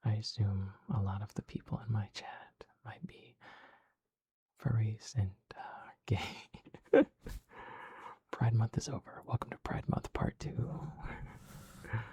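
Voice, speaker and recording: A man whispering ASMR in the persona of a dog, with soft, coaxing, soothing pet-talk. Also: fairly low pitch, little pitch movement, moderate pace.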